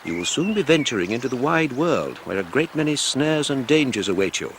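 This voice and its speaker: An English actor playing a stern, self-important Victorian patriarch in a radio drama, speaking formally. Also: male voice, medium pitch, big pitch swings, brisk, a little hiss or hum. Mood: grave. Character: pompous middle-aged Victorian father, stern patriarch. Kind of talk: 1990s radio drama acting.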